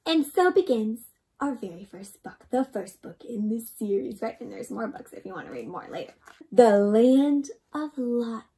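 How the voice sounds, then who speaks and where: Very animated, high-pitched young woman reading aloud. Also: normal speed. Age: young adult. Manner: animated and expressive, often asking the listener questions. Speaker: host reading a picture book aloud to children. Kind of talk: interactive children's read-aloud.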